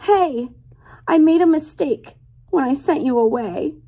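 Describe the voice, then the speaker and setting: A woman's voice: high voice, animated, unhurried, mellow, pitched above the performer's own voice. A woman voicing a bossy pig in a wig from a children's storybook, exclaiming emphatically. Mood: pleading.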